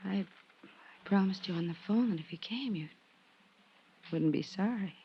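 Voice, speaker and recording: An actress playing a proud, emotional young woman with a breathy delivery in a 1950s radio detective drama. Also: mid-range voice, normal speed, natural voice. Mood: pleading. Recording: muffled, noisy old radio recording.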